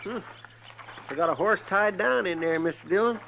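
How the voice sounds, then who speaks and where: A folksy, eager frontier deputy. His American drawl is fairly high and crackles with creak. The 1950s radio recording is clearly noisy. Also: normal speed, highs cut off. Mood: eager, curious. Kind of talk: 1950s radio western acting.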